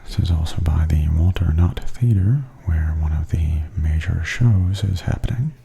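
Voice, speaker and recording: Very soft, creaky low-voiced ASMR man. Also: soft background music. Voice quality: breathy, often near-whispered. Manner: gentle, unhurried murmur. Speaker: ASMR host giving a walking tour. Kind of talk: softly spoken ASMR commentary.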